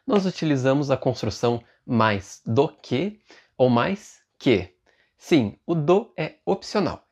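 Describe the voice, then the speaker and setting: A Brazilian man presenting a scripted language lesson, explaining things in a clearly structured, didactic way. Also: mid-range voice, darkish tone. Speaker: Portuguese teacher, video presenter.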